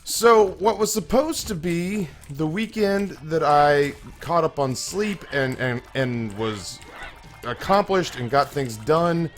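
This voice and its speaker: A slightly hoarse young man hosting a gaming channel, telling a long, self-mocking story with many restarts while he plays. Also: a little high, animated, takes it slowly, faint music behind. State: a little hoarse. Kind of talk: casual let's-play commentary.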